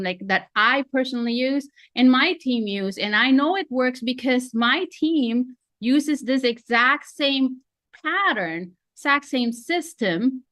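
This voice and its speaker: A woman's voice: fairly high pitch, pushed and bright, dry recording. A woman in her forties with a Filipino accent, chatty and self-deprecating, coaching a webinar over a video call. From Philippines. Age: 40s. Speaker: real estate coach. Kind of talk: online training webinar.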